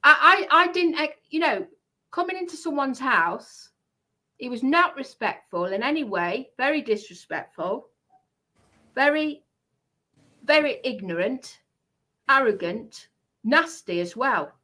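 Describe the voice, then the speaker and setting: A woman's voice: high-pitched, lively intonation, unhurried, long pauses, pushed and bright, poor audio. A woman giving a heated personal account on a livestream, speaking in an indignant, emphatic way and repeating herself for stress.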